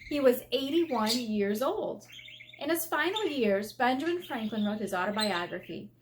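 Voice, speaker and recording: A woman's voice: on the high side, lively intonation, moderate pace, noisy background. An American woman, an elementary school teacher, reading aloud to children and adding simple explanations as she goes.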